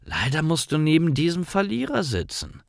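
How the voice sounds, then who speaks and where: A man's voice: a little high, wildly swooping pitch, normal speed, gravelly, no room sound, clean studio sound, pitched above the performer's own voice, heavily acted. A man voicing an arrogant, spiteful schoolgirl in a learners' storybook, with a haughty, belittling manner. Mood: snide. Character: arrogant, spiteful schoolgirl who insults her classmate.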